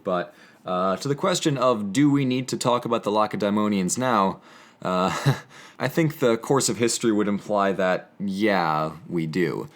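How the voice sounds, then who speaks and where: Young man earnestly presenting, low-pitched voice. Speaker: presenter reading and explaining poetry. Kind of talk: casual educational commentary with dramatic poetry reading.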